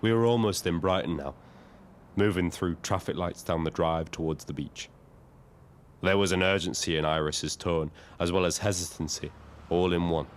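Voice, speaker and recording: A lonely cab driver acted by a man with a deep voice, telling his story in an audio drama. He is hesitant, kindly and gently dry. Steady ambient noise sits behind him. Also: normal speed, lightly acted. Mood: reflective narration. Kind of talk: audio drama narration and dialogue.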